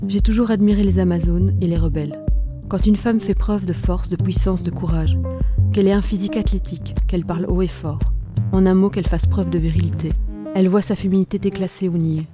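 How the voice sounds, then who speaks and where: A woman hosting a documentary podcast, reading scripted narration in a measured, deliberate way. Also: lowish voice, steady tone. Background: music.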